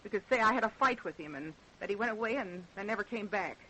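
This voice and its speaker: An actress playing a scheming, impatient wife in a radio drama, speaking with insistent, scornful urgency. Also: female voice, mid-range voice, lively intonation, fast, sharp tone, a little hiss or hum, poor audio. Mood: coldly scheming. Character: scheming, impatient wife plotting her husband's murder. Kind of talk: old-time radio drama acting.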